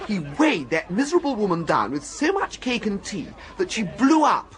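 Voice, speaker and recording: A man playing a highly strung, woebegone young gentleman with an upper-class English accent, agitated and melodramatic in a radio comedy. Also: sing-song, moderate pace. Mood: indignant. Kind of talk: British radio comedy acting.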